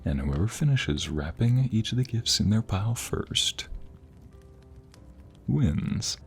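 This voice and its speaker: A man playing an affectionate, playful husband in an intimate ASMR roleplay, speaking tenderly and teasingly with breathy, whispery softness. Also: lowish voice, very animated, faint music behind, full character voice. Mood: flirty, teasing.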